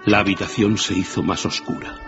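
A man's voice: sits low, steady tone, brisk. A man narrating a scripted story in a dramatic storytelling style. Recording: muffled radio broadcast with prominent music.

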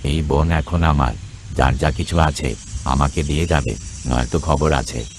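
Huge, rumbling, menacing bear. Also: male voice, steady tone, measured pace, slight background noise. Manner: threatening, domineering. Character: huge menacing bully bear claiming the forest. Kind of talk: children's cartoon story acting.

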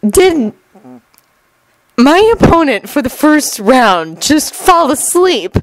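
A woman's voice: high voice, very animated, slow, no room sound, voice pushed up, exaggerated voice, poor audio. A woman voicing a proud, blunt teenage ninja girl in a story, emphatic and given to complaining. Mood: put out, complaining.

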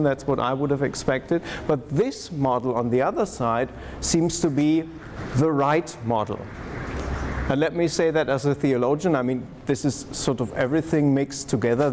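A man with a medium-pitched voice presents slides at a conference. He talks conversationally and adds wry side remarks. The recording comes from a lecture hall and carries noticeable background noise. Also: a little mellow. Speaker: theologian giving a conference lecture. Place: darkened lecture hall at a podium, audience seated.

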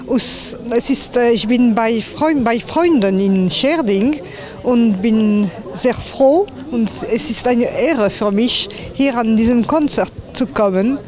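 French lady, middle-aged, slow, hunting for words, outside interview. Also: fairly high pitch, darkish tone, poor audio. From France. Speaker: concertgoer. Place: outdoors by a church. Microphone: handheld reporter mic.

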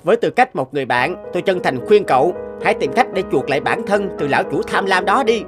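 A man's voice: sits high, lively intonation, fast talker. Cartoon friend: principled young man, sincere, plain-spoken, well articulated, music bed behind. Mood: concerned, advising. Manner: earnest, frank, articulate. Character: concerned, principled young male academy friend. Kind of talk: cartoon dubbing.

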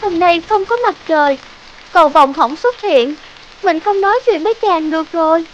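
A woman voicing a gentle, timid young princess in a cartoon fairy tale, polite and hesitant. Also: very high voice, lively intonation, moderate pace, lo-fi sound, slight background noise. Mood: gentle, curious.

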